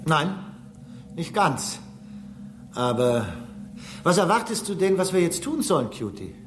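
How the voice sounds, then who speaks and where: A man's voice: a little high, very animated, measured pace, a little hiss or hum. An actor playing a station engineer in a German radio drama, earnest and insistent as he argues his case. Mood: coaxing. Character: human station engineer, male, reasoning patiently with a robot.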